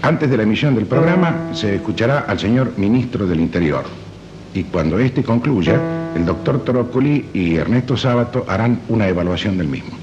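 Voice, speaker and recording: A man's voice: lowish voice, lively intonation, normal speed, muffled recording. A man making a formal, scripted television announcement on an old broadcast recording, with guitar music behind.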